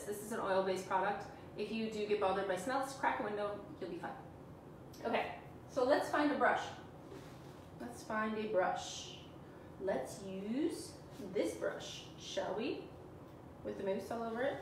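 A Canadian woman in her forties, talking viewers through a live furniture painting demo in a chatty, self-teasing way. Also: medium pitch. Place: small room indoors, sitting on the floor. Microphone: camera on a stand a few feet away. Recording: echoey room, far from mic, background hum.

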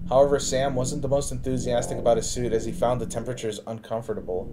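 A man streaming live, reading on-screen captions aloud and reacting with loud, profane excitement and laughter. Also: medium pitch, fast talker, music in the background, poor audio.

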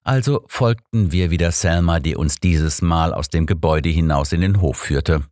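A man's voice: low-pitched, moderate pace, a little mellow, no echo, clean studio sound. A man reading scripted audiobook narration as the storyteller.

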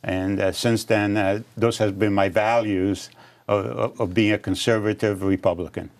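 An older man from Romania, a candidate being interviewed in a studio, answering in a measured way with many restarts. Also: lowish voice, fairly even intonation, a little mellow. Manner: measured, with frequent restarts and fillers. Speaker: congressional candidate guest. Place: dark studio set, seated at table.

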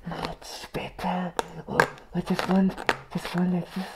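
A young man playing a crazy, eager circus clown in a whispered ASMR roleplay, breathy and obsessively repeating words. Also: high-pitched, completely flat delivery, unhurried, heavy background noise. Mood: manic, preening.

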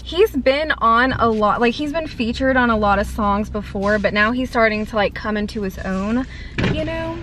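A woman's voice: on the high side, lively intonation, normal speed, background noise. A young woman from North Carolina with a light Southern accent, chatting casually in a rambling vlog from inside her car. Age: young adult. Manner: rambling, filler-heavy with lots of "like". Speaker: food vlogger. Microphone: camera on the dashboard.

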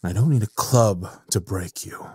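A man playing a sadistic, mocking evil scientist in an audio drama, with a theatrical, measured delivery. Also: lowish voice, breathy edge. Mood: menacing.

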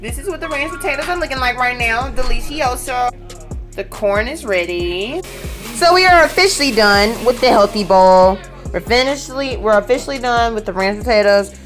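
A woman's voice: a little high, expressive, normal speed, music in the background. A young woman, narrating her home cooking step by step and often checking in with "okay?". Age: young adult. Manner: chatty, walks through each step, tags lines with "okay?". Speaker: vlogger. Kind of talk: casual cooking vlog narration. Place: home kitchen, indoors. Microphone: handheld phone camera.

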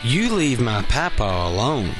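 A man's voice: mid-range voice, very animated, a little slow, over loud music. A man playing a space monkey crew member in a comic audio sketch. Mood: excitable.

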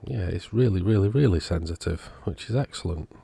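Deep, gravelly-voiced man narrating repairs. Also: lively intonation, fairly quick, dark tone. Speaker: host of an electronics repair channel. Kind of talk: casual repair walkthrough narration.

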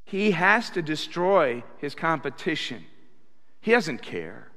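A man in his sixties preaching a sermon at a pulpit, animated, with bursts of loud acted quotes, speaking into a stand mic in a church sanctuary. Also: a little high, slow. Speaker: pastor. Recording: reverberant church hall.

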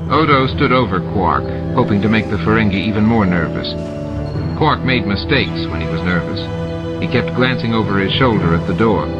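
Man reading a novel, lo-fi. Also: lowish voice. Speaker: amateur audiobook reader. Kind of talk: reading a novel aloud with character voices. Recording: dull lo-fi recording with music.

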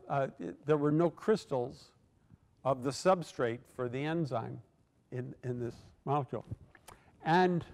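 A man's voice: fairly high pitch, darkish tone. An older man giving a keynote lecture from a podium microphone in a large hall, telling a story with many fillers and restarts. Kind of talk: academic lecture.

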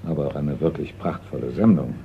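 An actor playing a polite, precise middle-aged art appraiser in a German radio crime play. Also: male voice, rumbling low, brisk. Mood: polite, deferential. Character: seasoned male art appraiser, deferential, precise, discreet. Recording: dull, noisy old radio recording.

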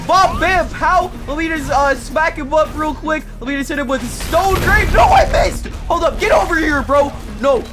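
A hyper, gushing gamer in excited, slangy voice chat, full of exclamations. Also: high voice, moderate pace, few pauses. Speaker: gamer being pranked. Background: music.

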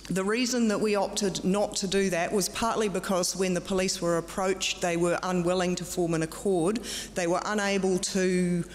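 A middle-aged woman from New Zealand giving a formal presentation at a podium, firm and direct with a measured delivery and some fillers. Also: fairly low pitch, steady tone, slight background noise. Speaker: conference speaker. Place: large conference room with audience. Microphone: podium microphone. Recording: long hall reverb.

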